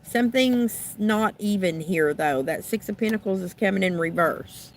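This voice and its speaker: A woman lays out a tarot reading, thinking aloud in loose, trailing phrases. Her voice is a little rough and raspy from being sick. It sits at an ordinary middle pitch. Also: lively intonation, moderate pace, a little hiss or hum. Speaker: tarot reader. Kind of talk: unscripted tarot card reading.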